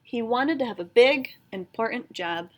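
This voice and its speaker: A woman reading a children's picture book aloud in an expressive storytelling style. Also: fairly high pitch, moderate pace.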